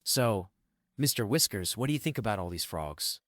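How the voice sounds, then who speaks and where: Man acting playful teen boy, mock-formal teasing, slightly low pitch, animated, stage script. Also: normal speed, no room sound, studio quality. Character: teasing, playful thirteen-ish boy, girl's best friend.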